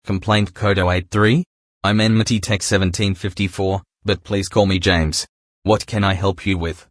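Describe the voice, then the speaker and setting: A man voicing a deep, flat young tech worker. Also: moderate pace, no echo, studio quality. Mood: polite. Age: young adult. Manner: polite, scripted greeting. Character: young male tech support worker, polite.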